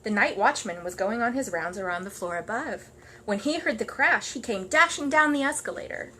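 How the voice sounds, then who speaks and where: A woman reading children's books aloud with expressive, dramatic emphasis, as in storybook narration. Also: on the high side, moderate pace, slight background noise.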